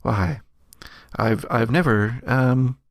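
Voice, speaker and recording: A man's voice: mid-range voice, slow, dry recording, studio quality. A man voicing a flirtatious, nosy woman at a bar in a tabletop game, gushing with drawled endearments. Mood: nosy, intrigued.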